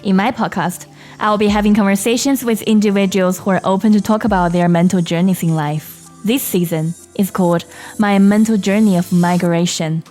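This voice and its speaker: A woman host reading her podcast's scripted introduction in a measured way. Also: medium pitch, fairly even intonation, darkish tone. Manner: measured, read-aloud delivery. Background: faint music.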